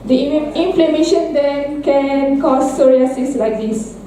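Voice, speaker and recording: A woman's voice: high voice, measured pace, mellow, a little hiss or hum. A young woman student earnestly explaining a skin disease in a class presentation, with a few restarts. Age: young adult. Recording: echoey room from far off.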